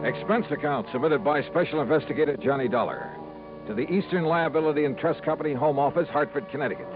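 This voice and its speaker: A man's voice: on the high side, fast, over loud music, natural voice. An actor playing a wisecracking insurance investigator in a 1950s radio drama, narrating his case with laconic, sarcastic quips. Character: wisecracking male insurance investigator, dry and sardonic. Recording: muffled old radio broadcast recording.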